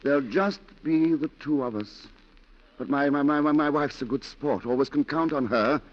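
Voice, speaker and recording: A man's voice: on the high side, moderate pace, close to an everyday voice. A man playing a hopeful, boastful, unsettled husband, rambling insistently in 1940s radio drama style. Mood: forced cheer. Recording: muffled 1940s radio recording.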